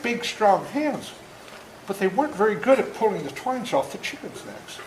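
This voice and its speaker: A man in his seventies reading a story aloud to children in a folksy, interactive, animated way, as an author before a young audience. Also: on the high side. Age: 70s. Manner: folksy, interactive, acts out moments. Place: indoor room before a school audience. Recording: roomy echo, faint background noise.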